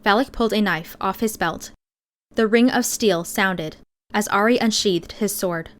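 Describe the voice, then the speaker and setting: A woman's voice: medium pitch, measured pace. A woman reading scripted first-person fiction as an audiobook narrator.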